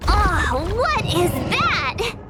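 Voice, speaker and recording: An actress playing a bubbly, easily alarmed teenage girl in a video game, dramatic and expressive. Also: very high voice, extremely slow, loud music behind the voice, full character voice. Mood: curious.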